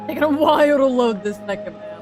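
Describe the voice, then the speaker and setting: A woman's voice: high-pitched, expressive, quick pace. A woman giving casual, blunt, offhand commentary as a game streamer. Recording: game music clearly behind the voice.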